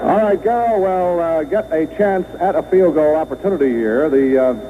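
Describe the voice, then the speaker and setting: A man's voice: on the high side, moderate pace, phone-quality sound, background noise. An American man calling live football play-by-play on an old radio broadcast. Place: stadium broadcast booth.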